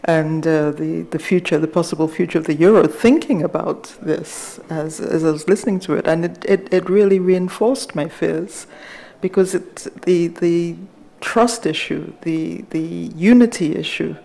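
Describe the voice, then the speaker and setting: Middle-aged woman, soft voice, low and mellow, measured panel remarks. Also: creaky. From Ghana. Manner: measured, hesitant with frequent fillers. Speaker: conference panelist. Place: large conference hall. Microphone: table microphone.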